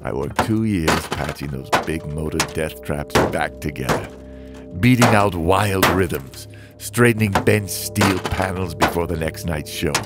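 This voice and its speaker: A man playing a bitter, haunted demolition derby driver who narrates his story in a slow, brooding, theatrical way for a motion comic. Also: deep voice. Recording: music bed behind the voice.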